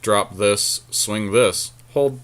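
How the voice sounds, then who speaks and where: A man's voice: lowish voice, drawn-out words, fairly bright tone. A man casually commentating a card game with a co-host, thinking aloud in slangy, jokey language.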